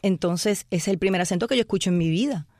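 A woman at a middle pitch tells stories with animated energy, dropping into other people's voices as she goes. Her sound is close and dry, with no room around it. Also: quick pace, no room sound. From Puerto Rico. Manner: animated, slips into voices, many tags like "¿sabes?". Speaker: actress and impressionist guest. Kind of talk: lively storytelling in a chat interview.

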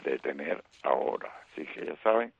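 Gravelly man on tinny radio line. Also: extremely low pitch, moderate pace, dry recording. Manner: animated, fills with "eh" and "¿ok?". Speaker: radio sports commentator. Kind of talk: baseball talk on radio.

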